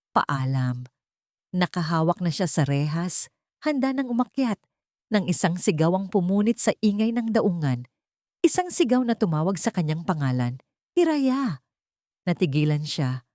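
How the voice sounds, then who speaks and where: Soft, mellow woman narrating a dramatic story. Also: on the low side, no echo, studio quality. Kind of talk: scripted dramatic audiobook narration of a love story.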